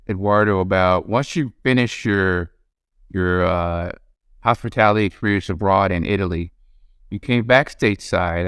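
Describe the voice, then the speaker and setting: A man's voice: on the low side, fairly bright tone, clean tone, dry recording, studio quality. A man hosting an interview podcast, asking his guest questions in an easygoing way. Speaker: podcast host. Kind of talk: interview host.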